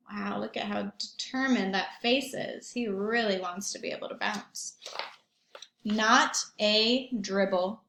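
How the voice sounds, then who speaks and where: Teacher lady doing a kids read aloud, slow n expressive, echoey room. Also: a little high. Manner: expressive, simple child-directed phrasing. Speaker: teacher reading aloud to young children. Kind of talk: storybook read-aloud with questions and comments. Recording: roomy echo.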